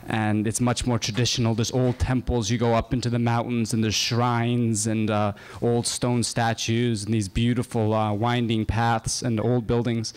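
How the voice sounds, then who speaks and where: A young man from Japan telling a casual personal story to an audience, halting often on uh and um. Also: mid-range voice, little pitch movement, a little mellow. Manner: halting, full of uh and um, chatty and wandering.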